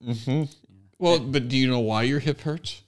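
A man's voice: medium pitch, a little slow. A middle-aged American man hosting a podcast, chatting casually with self-deprecating, jokey storytelling. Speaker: podcast host, stand-up comic. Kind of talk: casual humorous chat.

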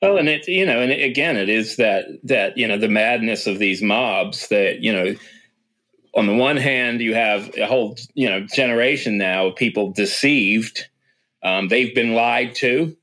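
A man's voice: fairly low pitch, pushed and bright. A man hosting a casual discussion, rambling through his point with many fillers and restarts. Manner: rambling, many fillers, frequent "yeah" backchannels.

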